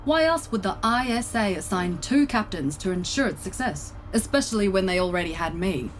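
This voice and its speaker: A woman's voice: mid-range voice, lively intonation, normal speed, a little hiss or hum, close to an everyday voice. A woman playing a proud, disciplined military starship co-captain in a sci-fi comedy audio drama, formal, earnest and officious. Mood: earnest, defensive. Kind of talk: scripted science-fiction comedy audio drama.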